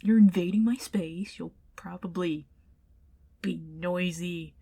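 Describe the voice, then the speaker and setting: A woman plays a flustered female ghost in an ASMR roleplay. Her voice sits a little low for a woman, and she speaks slowly. She is huffy and defensive, stammering as she insists on being taken seriously. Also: creaky, dark tone, slightly rough, full character voice. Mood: exasperated. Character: indignant, flustered tsundere ghost woman, over a century old.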